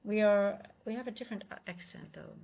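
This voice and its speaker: A woman with a Dutch accent, a native Dutch speaker, hosting a live trading stream, thinking aloud in halting, casual commentary. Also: mid-range voice, creaky, darkish tone. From Netherlands.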